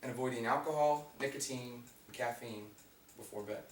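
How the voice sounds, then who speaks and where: A young man with a raspy voice giving an informative class speech, reading from notes with fillers and restarts. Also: medium pitch, moderate pace. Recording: echoey room, mic far off, faint hum.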